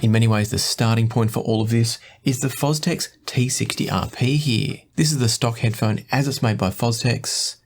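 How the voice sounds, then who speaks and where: Male review host, medium pitch, measured and explanatory presenting style. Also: soft background music. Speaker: host of audio review channel. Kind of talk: presenting a product review to camera.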